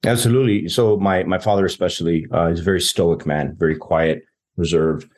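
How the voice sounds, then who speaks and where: A man's voice: low voice, fairly even intonation, brisk, a little mellow. A man from southern New Mexico, a podcast guest, talking earnestly with many fillers and restarts. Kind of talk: conversational interview.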